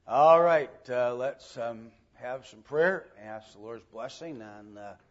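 A man teaching an informal Bible lesson to a church group, with halting delivery and frequent pauses to clear his throat. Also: mid-range voice, lively intonation. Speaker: church Sunday school teacher. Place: church room with a group present. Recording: dull, lo-fi church recording.

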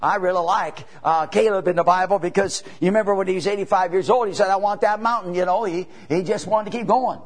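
A man in his seventies preaching a sermon as a traveling evangelist, folksy and repetitive, building to emphatic bursts. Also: sits high. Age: 70s. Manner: preaching with rising emphatic bursts and drawn-out pauses; folksy, repetitive, peppered with "you know" and "amen".

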